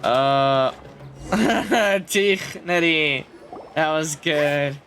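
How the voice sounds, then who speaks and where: Slow-talking man reacting to a game. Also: fairly high pitch, a bit bright, soft background music. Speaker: gaming video creator. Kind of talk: casual live reaction to game.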